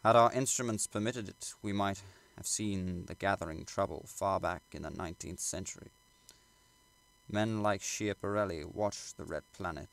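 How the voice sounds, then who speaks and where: A man reading literary fiction aloud as an audiobook narrator, in a measured, formal storytelling manner. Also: low-pitched, vocal fry. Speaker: audiobook reader.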